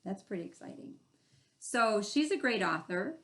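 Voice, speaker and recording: A woman hosting a children's read-aloud, chatting and explaining the story in animated asides. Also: medium pitch, moderate pace. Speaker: read-aloud host, teacher.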